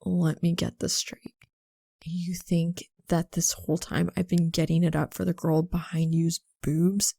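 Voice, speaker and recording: A blunt young college man with a creaky, lowered voice, put on by a woman reading aloud. He is exasperated and terse, drawling his words in a dry audiobook recording. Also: flat delivery, a little slow, warm tone, dry recording. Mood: cold, controlled anger.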